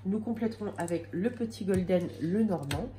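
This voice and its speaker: A woman's voice: medium pitch, lively intonation, normal speed, mellow. A woman with a slight cold giving a casual tarot reading to her viewers, talking in a hesitant way with many fillers and restarts. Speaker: tarot card reader.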